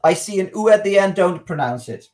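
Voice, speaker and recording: A man's voice: sits high, expressive, a little fast, a little mellow. Curious Dutch man asking questions. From Netherlands. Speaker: guest. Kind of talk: casual live chat.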